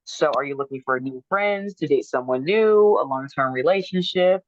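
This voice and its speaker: A woman's voice: sits low, animated, moderate pace, dry recording, dull audio. A woman hosting a dating show, calling on guests one by one with short cheerful acknowledgements.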